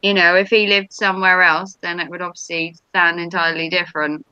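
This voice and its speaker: An English woman with a bright, forceful voice, joking and teasing through a relaxed chat. The sound is dry and a little dull, as if from a modest home mic. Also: on the low side, brisk, clean tone, no echo. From England, Norfolk. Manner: teasing, jokey banter. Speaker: vlogger hosting a live stream. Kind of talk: casual chat.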